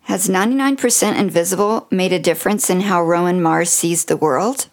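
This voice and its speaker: A woman's voice: on the low side, fairly quick. Woman narrating a radio feature.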